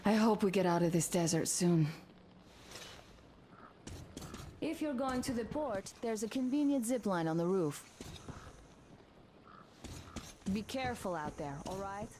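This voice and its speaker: An actress playing a practical young woman scout in a video game, speaking in a calm, matter-of-fact way. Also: mid-range voice, fairly quick, slight background noise, close to an everyday voice. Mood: calm, caring. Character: young woman scout in a post-apocalyptic band of survivors, practical.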